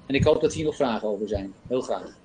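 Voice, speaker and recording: A man in his fifties giving a live software demo and answering questions on a video call, talking with many fillers from a home office. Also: mid-range voice, expressive, quick pace, slight background noise, poor audio. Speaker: company presenter. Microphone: laptop webcam on video call.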